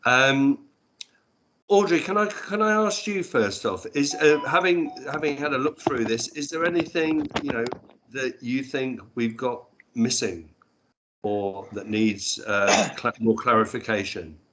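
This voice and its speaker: A man chairing an online roundtable discussion in a courteous, brisk way, calling on people and keeping things moving. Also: medium pitch, a bit bright, rough recording. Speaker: meeting chair.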